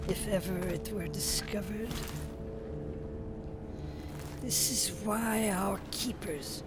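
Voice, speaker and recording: Slow, solemn mystical alien elder. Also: female voice, medium pitch. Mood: grave, foreboding. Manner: solemn, cryptic, deliberate. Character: solemn mystical female alien elder guiding a chosen hero. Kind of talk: cinematic game cutscene acting. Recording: loud cinematic music behind. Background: loud music.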